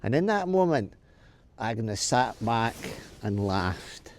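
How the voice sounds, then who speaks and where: A man's voice: medium pitch, big pitch swings, takes it slowly. A man telling a story for children, stopping to ask and answer questions. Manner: explains as he tells, asks and answers questions.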